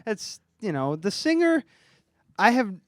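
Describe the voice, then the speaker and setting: Male podcast host, slightly high voice, easygoing, laughs a lot, curses casually. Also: very animated, measured pace, dry recording, clean studio sound. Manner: laughs a lot, swears freely. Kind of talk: casual chat.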